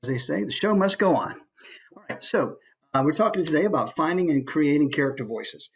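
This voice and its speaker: A man giving an informal online presentation in an easygoing, conversational way, with hesitant pauses as he goes. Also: on the high side, lively intonation, slightly rough, rough recording. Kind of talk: informal online talk with slides. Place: online video call.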